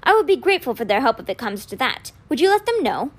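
A woman voicing a kindly, wise old man in an audiobook, patient and measured, with a gentle gravity. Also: a little high, big pitch swings. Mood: courteous, earnest. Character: kindly, wise old male magician mentor, gently grave.

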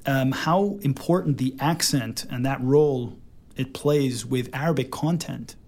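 An American man co-hosting a casual podcast chat, animated and quick to laugh. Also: medium pitch. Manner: animated, laughs often, code-switches into Arabic. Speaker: podcast co-host.

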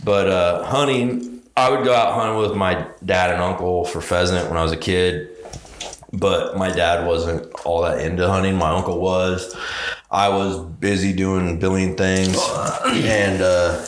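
A man's voice: deep voice, steady tone, measured pace, roomy sound, a little hiss or hum. A man from Kansas in his thirties, a podcast guest swapping stories in casual chat and laughing often. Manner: laid-back storytelling, laughs a lot.

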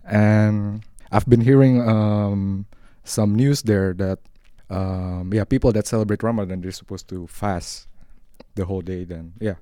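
A young man from Indonesia with an Indonesian accent, speaking haltingly and reflectively in a casual discussion. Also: fairly low pitch, steady tone, dark tone, no echo, studio quality. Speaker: podcast guest.

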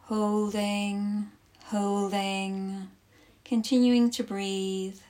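A woman leading a guided yoga nidra class as instructor, speaking soothingly in short instructive phrases with long pauses. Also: medium pitch, little pitch movement, dark tone.

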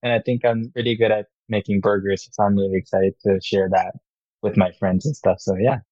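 A man's voice: fairly low pitch, steady tone, fairly quick, mellow, no room sound. A young man from Korea, a startup founder, answering interview questions in an earnest, upbeat way. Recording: dull, muffled sound.

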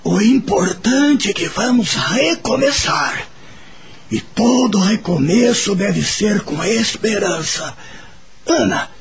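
An actor with a Brazilian accent playing a humble, hopeful, simple gardener in a radio soap opera. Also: male voice, high voice, vocal fry, exaggerated voice.

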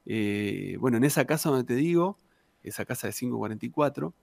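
An Argentine man in his thirties with a gravelly, creaky voice, telling his story as an interview guest. He rambles in a relaxed, chatty way, with fillers and questions tacked onto the ends of his lines. The sound is close and dry. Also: medium pitch, a little fast, no room sound. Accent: Argentine Spanish. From Argentina, Santa Cruz province. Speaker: tango singer, interview guest.

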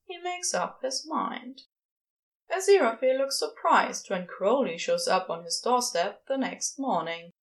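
A woman reading fiction aloud as expressive scripted narration, with dramatic pauses. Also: fairly high pitch. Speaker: podfic reader.

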